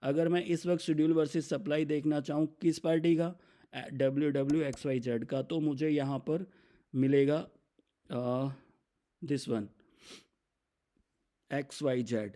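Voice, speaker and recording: Indian man explaining software, mellow voice. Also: on the high side, fairly even intonation, measured pace, pauses often. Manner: mixes English business terms into speech. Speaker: software demonstrator. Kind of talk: instructional screen demo, explaining step by step.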